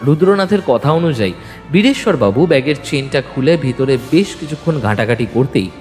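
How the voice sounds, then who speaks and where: A man's voice: mid-range voice, lively intonation, a little fast, a little mellow. A man narrating a detective audio story in the first person, suspenseful and dramatic. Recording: long reverb, music bed. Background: music.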